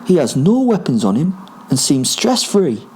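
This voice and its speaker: A grumpy, bloodthirsty spirit werewolf from a story, voiced by a man. The pitch is lifted and swoops upward as he swears and goads with heavy emphasis. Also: big pitch swings, moderate pace, warm tone, a little hiss or hum. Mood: awed, amused.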